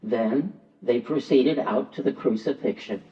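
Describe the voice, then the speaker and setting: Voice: medium pitch, unhurried, dark tone. A narrator reading a scripted Passion story, telling it dramatically and acting out the quotes. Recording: dull, distant, echoing church sound with music.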